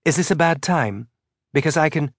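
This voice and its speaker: A man's voice: fairly high pitch, lively intonation, moderate pace, no room sound, clean studio sound, voice pushed up. A man voicing a nervous young woman scientist who rambles eagerly, with a rising lilt. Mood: hesitant.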